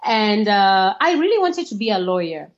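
A woman from Kenya talks as a guest on a podcast, relaxed and laughing between her words, often pausing on uh. Her voice comes through a somewhat muffled remote line. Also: medium pitch. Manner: warm, laughs while talking, many uhs. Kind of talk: casual interview.

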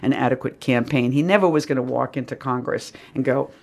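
A woman's voice: a bass voice, a little fast, gravelly, darkish tone. A woman with a Boston accent, a poet talking casually in an interview, rambling with lots of "you know" and "like".

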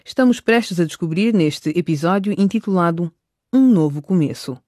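A man with a high-pitched voice narrates a scripted radio drama. The recording is dry, with no room sound. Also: animated, normal speed, darkish tone, dry recording.

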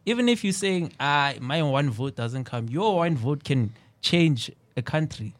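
A man's voice: a little high, animated, unhurried, dry recording. A South African man in his twenties, a panel guest making a persuasive case and checking for agreement with "right?". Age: 20s. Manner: persuasive, repeats "right?" for assent. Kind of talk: earnest opinion in casual chat. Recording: dry studio sound.

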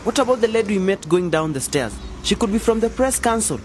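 A sceptical young man in a radio drama, outspoken and a student. His voice is slightly high and very animated, over faint outdoor noise. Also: fast. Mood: curious. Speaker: actor in an educational radio drama. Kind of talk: scripted radio drama dialogue.

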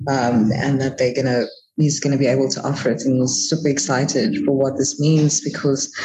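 A woman's voice: rumbling low, dark tone, rough recording. A woman with a South African accent talking casually as an interview guest, often circling back and correcting herself as she explains.

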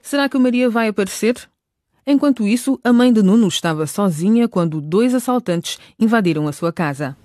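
A woman's voice: mid-range voice, animated, brisk, darkish tone, no echo, clean studio sound. A woman narrating a radio drama from a script. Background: music.

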